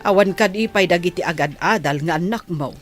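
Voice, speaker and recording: A woman's voice: lowish voice, brisk, music in the background, lightly acted. A woman playing a nosy, probing village neighbor with an Ilocano accent in a radio comedy drama.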